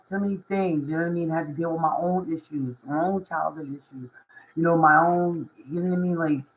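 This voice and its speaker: Hoarse woman vlogger; raspy, insistent, repeats herself for emphasis, motivational spiritual chat. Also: low voice, rough recording. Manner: emphatic and repetitive, constant "you know what I mean". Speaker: spiritual reader and life coach. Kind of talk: casual motivational monologue to camera.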